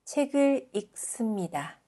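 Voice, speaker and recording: A female language teacher reads scripted example sentences in a fairly high, mellow voice. She enunciates each one carefully and takes her time. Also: expressive, slow. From South Korea.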